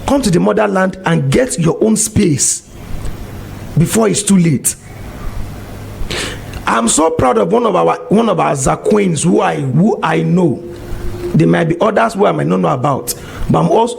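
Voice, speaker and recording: A man preaches in a high, strongly gravelly voice. He exhorts his listeners with one rhetorical question after another. A faint hiss of noise sits under the recording. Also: lively intonation, normal speed, long pauses, darkish tone, low-quality recording. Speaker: religious commentator. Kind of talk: preaching monologue.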